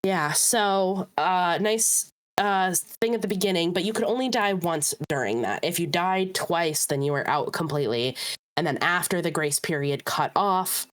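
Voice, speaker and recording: A woman co-hosting a podcast in casual chat, upbeat and chatty, her voice a little rough and hoarse from a recent illness. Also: on the low side, expressive, normal speed. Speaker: podcast co-host.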